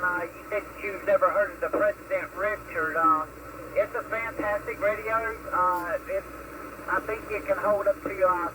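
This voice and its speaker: Through clearly noisy CB radio static comes a middle-aged man with a rather high voice. He chats casually, sprinkling in radio jargon like a seasoned hobbyist. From United States. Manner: uses radio lingo, repeats acknowledgements. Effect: CB radio transmission. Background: radio noise.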